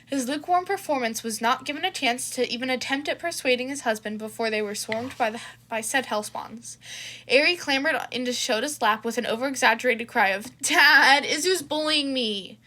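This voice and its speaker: A woman's voice: on the high side, brisk, fairly bright tone. A woman narrating scripted fan fiction as the host of her own reading channel. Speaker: podfic reader and channel host.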